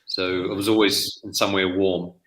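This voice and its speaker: A middle-aged man from New Zealand talks loosely in an interview, stopping and restarting his sentences. His voice sits a little low, with a bright and forceful edge. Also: flat delivery, normal speed, low-quality recording. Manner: rambling, with frequent restarts and fillers. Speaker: guest author. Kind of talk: casual interview conversation.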